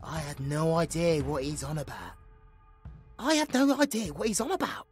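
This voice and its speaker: Actress doing a cheeky young adventurer girl, pitch all over, slangy, fantasy audio play, music under it. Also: sing-song, normal speed, breathy edge, exaggerated voice. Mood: shaken, chatty. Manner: informal, cheeky, British slang. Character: cheeky, irreverent young human woman with baby dragon companion. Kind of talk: fantasy audio drama acting.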